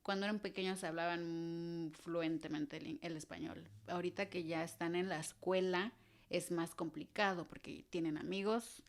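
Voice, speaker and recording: A woman's voice: on the low side, steady tone, normal speed. A Mexican woman in her thirties, a podcast guest talking in an earnest, easygoing way that often trails off and restarts. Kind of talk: casual interview chat.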